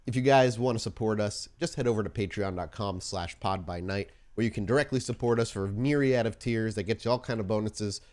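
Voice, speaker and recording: Male game storyteller, lowish voice, informal podcast hosting that moves into deliberate narrative scene-setting. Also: a little mellow.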